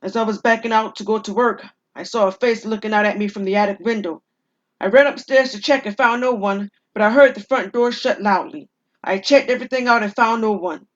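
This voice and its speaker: A woman's voice: medium pitch, fairly quick, lo-fi sound, lightly acted. A woman performing an urgent, flustered husband spooked by an intruder in an audio drama. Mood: rattled, insistent.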